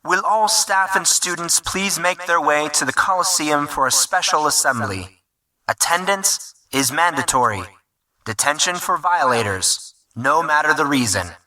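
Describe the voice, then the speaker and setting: A man's voice: on the high side, expressive, unhurried, sharp tone, far from the mic, voice pushed up, full character voice. A man voicing a formal, stern school intercom announcer in an audio story.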